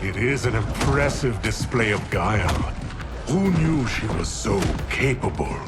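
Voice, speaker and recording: Scheming villain for a video game: deepish, slow, formal menace, cold temper. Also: male voice, expressive, heavy background noise. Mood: sardonic. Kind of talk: dramatic video game acting. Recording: loud music over game dialogue.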